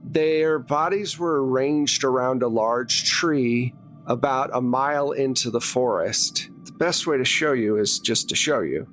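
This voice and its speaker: Slow-talking man running a tabletop game. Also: mid-range voice, a bit bright. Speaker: game master. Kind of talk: narrating a tabletop horror roleplaying game. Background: background music.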